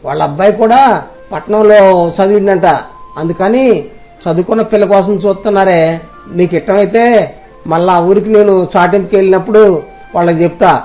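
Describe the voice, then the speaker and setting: A man's voice: high voice, fairly even intonation, a little fast, long pauses, darkish tone, muffled recording, soft background music, pitched above the performer's own voice, lightly acted. A man doing a chatty village drummer.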